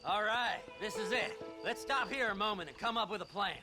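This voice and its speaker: An actor voicing a half-mad, greedy, filthy outlaw treasure hunter with an American accent and a manic, rambling delivery in a western video game. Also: male voice, high voice, fairly quick, music in the background, close to an everyday voice. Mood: scheming, excitable. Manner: manic, rambling, erratic swings. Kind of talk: video game character acting.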